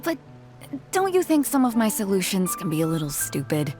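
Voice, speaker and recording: Actress doing a hearty lady ship captain, frank n swaggering, pitch moves a lot, game dialogue, faint music behind. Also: sing-song, fairly quick, darkish tone, lightly acted. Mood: reflective, earnest. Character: bold hearty female ship captain, easygoing peacemaker. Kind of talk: video game voice acting.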